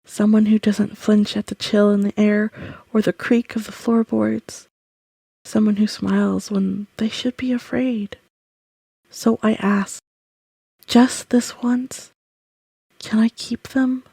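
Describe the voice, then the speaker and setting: Lady doing a lonely gentle ghost, slow n breathy, half whispering, pleading, audio drama. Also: mid-range voice, flat delivery, dry recording, heavily acted, poor audio. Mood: wistful, pleading. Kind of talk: scripted audio roleplay monologue.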